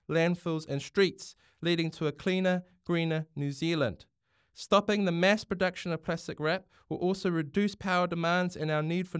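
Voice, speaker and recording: A man's voice: high voice, clear, resonant tone, no room sound, studio quality, voice pushed up, close to an everyday voice. A man voicing a woman prime minister giving a rousing speech in a storybook reading.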